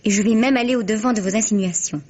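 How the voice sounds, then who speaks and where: An actress playing a proud, defensive young stage actress being questioned in a vintage radio mystery, haughty and articulate. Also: female voice, medium pitch, quick pace, a little mellow, muffled recording, lightly acted.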